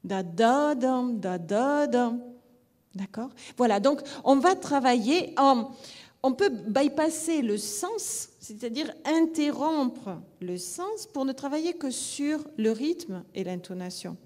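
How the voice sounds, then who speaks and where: A woman lecturing on phonetics at a conference, her voice sitting a little high. She explains step by step and sounds out rhythms in syllables to make her point. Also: lively intonation, takes it slowly, darkish tone. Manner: demonstrative, sings rhythm syllables to illustrate; explanatory, restarts phrases. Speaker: phonetics trainer and school director. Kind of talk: conference talk.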